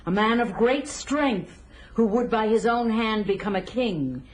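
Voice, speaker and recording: A woman's voice: on the high side, lively intonation, unhurried. An actress playing a seductive, menacing witch woman in an audio drama, with a theatrical, portentous delivery. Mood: sultry, mysterious. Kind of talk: 1980s audio drama acting.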